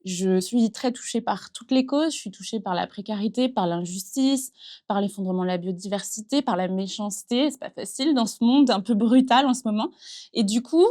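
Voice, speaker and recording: A thirty-year-old woman from western France talks through her path in an earnest, reflective way. Her voice sits at a middling pitch, and she speaks in a steady flow with many small fillers. She was recorded in a small improvised studio. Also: normal speed. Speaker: entrepreneur guest. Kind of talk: casual interview conversation. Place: improvised studio in a concert hall dressing room.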